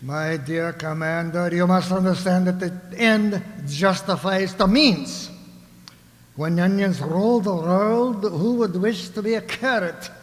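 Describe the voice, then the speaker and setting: A man playing a scheming onion ruler in a radio play, courteous and pompous with a smooth menace. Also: sits high, a little slow. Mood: pompous, persuasive. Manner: pompous, mock-genteel menace. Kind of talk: theatrical radio drama acting. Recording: echoey hall with long reverb.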